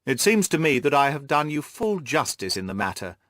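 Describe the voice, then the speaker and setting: A man's voice: on the high side, big pitch swings, fairly quick, a bit bright, no room sound, pitched above the performer's own voice. A man voicing a mild, loyal, slightly wounded companion who speaks politely and with measure in an audiobook. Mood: coldly defensive. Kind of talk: audiobook character dialogue.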